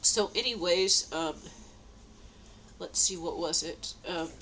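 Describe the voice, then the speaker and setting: A woman's voice: lowish voice, takes it slowly, a bit bright, slight background noise. A woman with a stuffy nose, talking at home in a rambling, meandering way, full of fillers and restarts. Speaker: vlogger. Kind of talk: rambling personal diary talk. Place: at home indoors.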